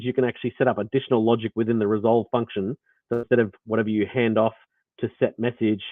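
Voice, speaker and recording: A man's voice: lowish voice, dry recording. Australian man, tinny lo-fi audio. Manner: rambling, many fillers and restarts. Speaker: developer presenting. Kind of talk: live coding walkthrough. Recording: tinny phone-like audio line.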